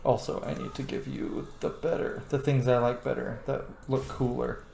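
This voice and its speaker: A man's voice: mid-range voice, flat delivery, moderate pace, creaky, darkish tone, slight background noise. A man giving casual let's-play commentary, thinking aloud in an informal, sweary way. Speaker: gaming streamer. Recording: roomy echo, faint game music. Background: game music.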